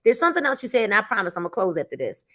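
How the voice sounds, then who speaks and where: A woman's voice: medium pitch, very fast. A woman in her fifties chatting casually as a network marketing mentor, telling stories with acted-out dialogue and colloquial speech. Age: 50s. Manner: animated storyteller, acts out dialogue, colloquial. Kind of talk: casual chat.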